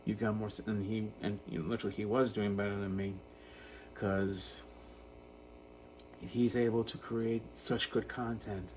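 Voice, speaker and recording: Low-voiced man in his fifties, noisy recording. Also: flat delivery, a little mellow. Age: 50s. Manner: halting, self-reflective, repeats words. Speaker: video creator talking about personal issues. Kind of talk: unscripted personal monologue to camera. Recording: noticeable background noise.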